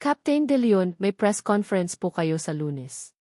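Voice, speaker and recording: A woman narrator playing a polite, formal assistant in an audio drama, speaking deliberately. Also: mid-range voice, big pitch swings, dark tone, no room sound, studio quality. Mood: businesslike. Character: polite assistant briefing a pilot by phone.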